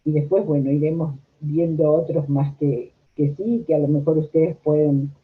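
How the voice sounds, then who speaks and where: Older woman, Argentine accent, low-pitched voice, conversational reminiscing, narrow tinny audio. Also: fairly even intonation, moderate pace. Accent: Argentine Rioplatense. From La Plata, Argentina. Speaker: interviewed social worker.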